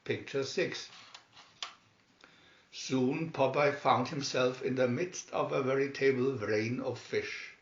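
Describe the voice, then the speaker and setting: A man reading a children's story aloud in a measured, expressive, scripted narration. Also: medium pitch, reverberant, lo-fi sound, a little hiss or hum. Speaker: storybook reader.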